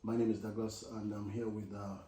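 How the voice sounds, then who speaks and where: A man's voice: fairly low pitch, little pitch movement, brisk, warm tone, a little hiss or hum. A young man hosting a casual podcast, English not his first language, asking follow-up questions and laughing often. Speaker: podcast host.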